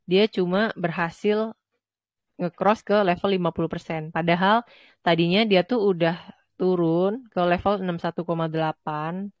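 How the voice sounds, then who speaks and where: A woman's voice: lowish voice, fairly even intonation, normal speed, no echo. A woman with a stuffy, nasal voice from a cold, explaining charts informally as a trading instructor. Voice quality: nasal, congested. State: has a cold. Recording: dull lo-fi close dry audio.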